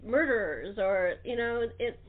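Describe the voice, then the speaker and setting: Female interview host, slightly high pitch, casual and emphatic, muffled telephone-quality sound. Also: expressive, measured pace. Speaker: radio show host. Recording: muffled phone-like line.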